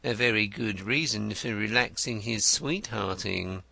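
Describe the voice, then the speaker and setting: A man's voice: on the low side, measured pace, creaky, sharp tone, dry recording, exaggerated voice. Man reading as a dry, wry detective. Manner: dry, teasing, questioning. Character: shrewd, wry male detective, dry and probing.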